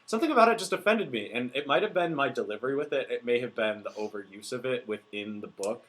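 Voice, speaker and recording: Lively-voiced young man joking around. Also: mid-range voice. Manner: playful, laughs often, quick joking asides. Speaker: podcast co-host. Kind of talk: casual chat.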